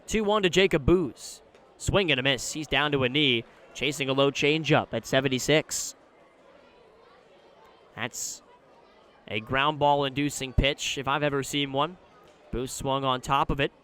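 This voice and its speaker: A man doing live radio play-by-play commentary of a baseball game, describing the action in a measured way that rises when the play picks up. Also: mid-range voice, fairly bright tone, clear, resonant tone. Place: live at an outdoor ballpark.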